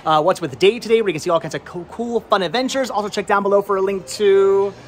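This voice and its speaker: A man in his thirties hosting a casual vlog, chatting enthusiastically and rambling with halting, repeated words. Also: sits high, a little hiss or hum. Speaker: vlog host. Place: large indoor flea market and warehouse loading area. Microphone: handheld camera at arm's length.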